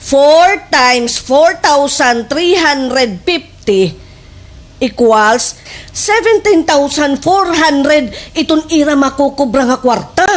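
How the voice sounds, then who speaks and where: Lively high-pitched gossipy sidekick played by a woman. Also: takes it slowly, a little hiss or hum. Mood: goading. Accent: Waray. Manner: animated, exaggerated, emphatic storytelling. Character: gossipy village sidekick woman, egging on her friend. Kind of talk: slapstick satirical radio comedy drama.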